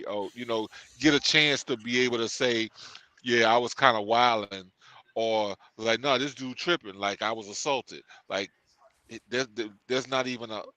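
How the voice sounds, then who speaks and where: A man's voice: mid-range voice, moderate pace, bright, forceful tone, no room sound, poor audio. A man co-hosting a casual talk show, rambling with many fillers and restarts as he works out his view. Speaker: podcast co-host. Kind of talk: casual discussion.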